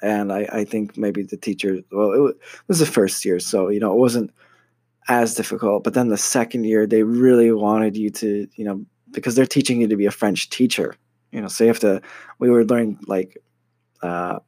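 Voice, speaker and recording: A man's voice: lowish voice, steady tone, normal speed, mellow, no room sound. A young American man telling a personal story about his studies in a casual, hesitant way, full of fillers and restarts. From United States. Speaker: university student.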